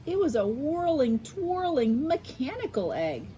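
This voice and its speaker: An American woman reading a picture book aloud to children in an expressive way, adding explanatory asides. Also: high voice, slight background noise. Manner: animated, unhurried, addressing children. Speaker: school media specialist reading aloud.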